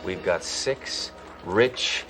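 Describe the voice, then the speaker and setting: A gruff deadpan tough cop. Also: male voice, sits low, extremely slow, soft background music, full character voice. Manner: gruff deadpan one-liners. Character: tough wisecracking male cop, cocky.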